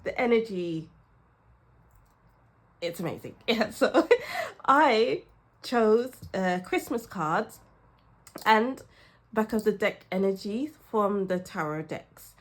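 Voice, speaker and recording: A woman's voice: mid-range voice, animated, slow pace, pauses often. A young woman with a cold, chatting casually and laughing often as she gives a tarot reading. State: has a cold, sniffly. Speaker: tarot reader.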